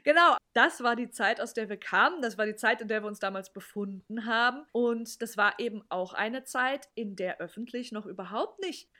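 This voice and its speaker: Woman in her thirties, co-host, self-mocking storyteller with a slightly high voice. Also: normal speed, hardly any pauses, sharp tone. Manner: candid storytelling, laughs at herself. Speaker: podcast co-host. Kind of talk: casual chat.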